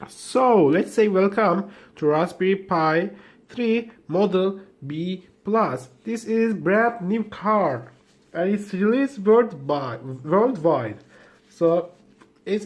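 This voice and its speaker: Slow, halting man with a Turkish first language. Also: a little high. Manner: hesitant, halting, with many fillers and restarts. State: just woken up. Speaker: tech vlogger. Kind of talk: unboxing commentary.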